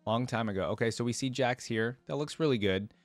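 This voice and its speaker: A man's voice: mid-range voice, a little fast, no echo. A man giving casual, off-the-cuff reaction commentary as a video host, talking in an enthusiastic, informal, slangy way.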